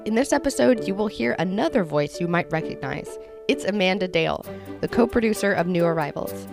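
A woman's voice: mid-range voice, expressive, a little fast, gravelly, over music. A woman hosting a show with scripted radio narration. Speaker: host.

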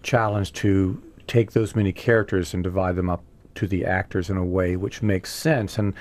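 A man hosting a casual talk show, putting halting, rambling questions to his guests. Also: low voice, steady tone, a little mellow. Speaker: radio talk show host. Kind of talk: casual interview.

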